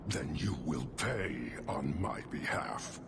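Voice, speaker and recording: Game role: giant warrior leader, extremely deep gravel, grave, measured, contemptuous; heavy noise behind. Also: male voice, animated, heavily acted. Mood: stern dismissal. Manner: slow, grave, imperious, measured. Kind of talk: video game acting.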